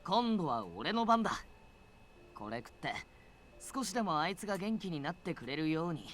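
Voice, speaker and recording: Earnest, fast-talking young man, very animated. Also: high-pitched, a little hiss or hum. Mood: heartfelt. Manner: rough, casual masculine speech. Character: earnest, hot-blooded young man, loyal and easily flustered. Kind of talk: Japanese visual novel voice acting.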